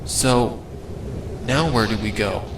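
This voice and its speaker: A man's voice: lowish voice, takes it slowly, background noise. A man voicing a young, weary pegasus pony in a wistful, solemn dramatic story reading. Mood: wondering, solemn. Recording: roomy sound with loud music.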